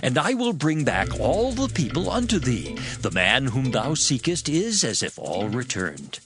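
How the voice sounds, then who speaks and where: An actor playing a cunning royal counselor, eagerly laying out a ruthless plan in a dramatized scripture reading. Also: male voice, medium pitch, huge pitch swings, unhurried. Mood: scheming. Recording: music bed behind voice.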